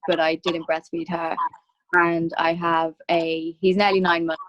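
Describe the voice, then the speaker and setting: Low-voiced young woman on a call. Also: little pitch movement, a little slow, fairly bright tone, no room sound, highs cut off. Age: 20s. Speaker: guest mother. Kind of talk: casual video call chat.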